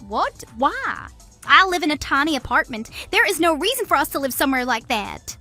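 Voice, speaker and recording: A woman's voice: high voice, very animated, normal speed, pushed and bright, faint music behind, heavily acted. A woman playing a greedy, haughty, easily shocked mistress in a scripted audio drama. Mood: shocked, incredulous. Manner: haughty, gloating, incredulous. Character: smug, greedy, gold-digging young woman, easily shocked.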